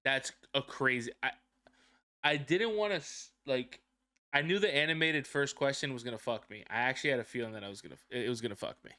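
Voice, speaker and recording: A man's voice: medium pitch, fast talker, bright, forceful tone, no room sound, clean studio sound. A young man whose first language is Spanish, co-hosting a podcast in casual, boastful banter. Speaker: podcast co-host. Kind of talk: casual chat.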